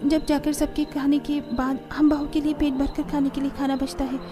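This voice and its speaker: A woman's voice: high-pitched, flat delivery, normal speed, music in the background. A woman reading scripted narration for a cartoon family story, as the storyteller.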